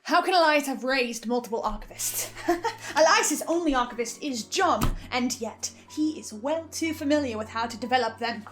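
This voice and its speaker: A woman's voice: high-pitched, animated, normal speed, over music. A woman giving semi-scripted theory commentary with a theatrical, emphatic delivery. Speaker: podcast listener sharing theories.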